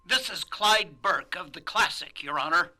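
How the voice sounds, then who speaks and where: An actor playing an urgent newspaper reporter calling in by phone in a radio drama. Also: male voice, high voice, expressive, normal speed, sharp tone, exaggerated voice.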